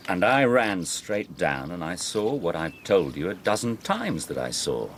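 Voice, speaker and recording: Brusque, arrogant squire's son, English, radio drama: low register, animated contemptuous delivery. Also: male voice, measured pace, a little hiss or hum. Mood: impatient, indignant. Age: young adult. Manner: sneering, brusque. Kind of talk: British radio drama acting.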